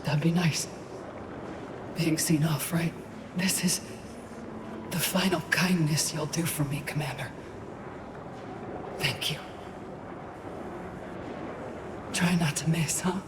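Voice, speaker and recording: Slow, echoing weary dying woman agent. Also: low voice, steady tone. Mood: resigned, grateful. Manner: halting, pleading, colloquial. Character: grievously wounded woman agent, weary, frightened, pleading. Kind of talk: cinematic video game acting. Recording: roomy echo, background ambient noise.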